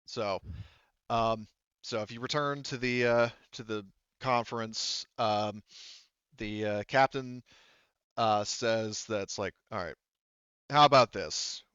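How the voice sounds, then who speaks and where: A man narrating a tabletop roleplaying game as game master in a halting, conversational way, often relaying what characters say. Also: lowish voice, fairly even intonation, fairly bright tone, clear, resonant tone, no echo, dull audio.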